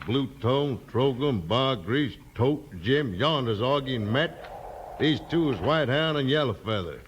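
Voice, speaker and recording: An actor playing a wary, menacing mountain patriarch with a rural American accent and a terse, dry delivery. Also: male voice, medium pitch, lively intonation, unhurried, slight background noise, lightly acted. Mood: stern. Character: wary, menacing male mountain clan leader, a father. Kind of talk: 1950s western radio acting.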